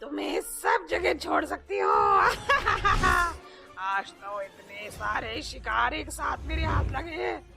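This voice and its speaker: A woman voicing an evil female witch in a cartoon story, with gloating shouts and cackling laughter. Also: high voice, wildly swooping pitch, slow pace, vocal fry, soft background music, heavily acted. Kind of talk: cartoon voice acting.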